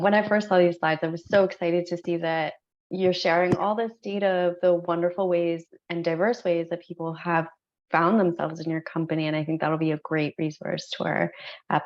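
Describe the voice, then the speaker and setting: A woman moderating a webinar panel, thanking speakers and moving things along in an upbeat way. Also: fairly low pitch. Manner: warm, upbeat thanks and transitions. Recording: dull lo-fi webinar audio.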